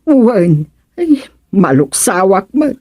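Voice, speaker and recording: Woman acting a slow-talking, gossipy Ilocano neighbour. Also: mid-range voice, sing-song, mellow, slightly rough, no room sound, studio quality, exaggerated voice. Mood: chatty. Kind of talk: Filipino radio comedy drama acting.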